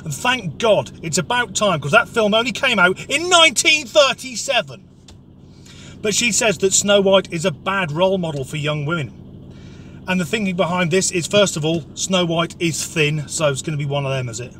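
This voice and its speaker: A man with an English accent speaks in a notably high register over clear background noise. He rants comically as a commentator, blunt and sardonic, swearing freely as he gives his opinions. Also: lively intonation, normal speed, sharp tone. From Norwich area, England.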